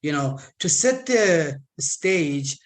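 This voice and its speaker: Halting Iranian-accented man in his fifties. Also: fairly high pitch, very animated. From Iran. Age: 50s. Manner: halting, stammered repetitions. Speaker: guest speaker. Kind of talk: personal testimony talk.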